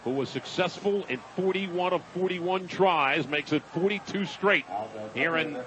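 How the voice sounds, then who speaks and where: High-pitched American football radio announcer, man. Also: measured pace, a bit bright, lo-fi sound. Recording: noisy stadium radio broadcast. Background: stadium noise.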